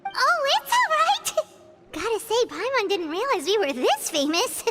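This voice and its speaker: A woman voicing a small, cheeky, excitable fairy girl in a video game, with a squeaky, childlike voice and exclamatory delivery. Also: unhurried, exaggerated voice. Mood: chirpy. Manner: exclamatory, speaks of self in third person. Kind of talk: video game character acting. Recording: loud music behind the voice.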